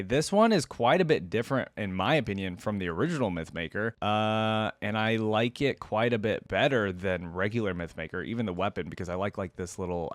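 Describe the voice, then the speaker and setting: Man, low voice, dry close sound, informal video host, thinking aloud, casual reaction commentary. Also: no echo.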